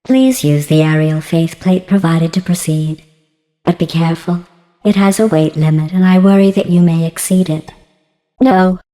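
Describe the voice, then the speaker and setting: A woman voicing a passive-aggressive female artificial intelligence in a video game, deadpan and mock-sweet, with synthetic robotic processing. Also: on the low side, moderate pace, big-room sound, faint music behind, low-quality recording. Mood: clinical, deadpan, then mock-sweet menace. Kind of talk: scripted video game dialogue.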